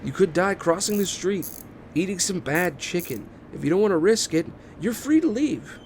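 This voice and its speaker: Casting: man performing exasperated male engineer, sarcastic and condescending, pitched high with lively swings, amateur table read. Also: moderate pace. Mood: grim, impatient. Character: Gordon, irritable, impatient, sarcastic male engineer. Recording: noticeable background noise.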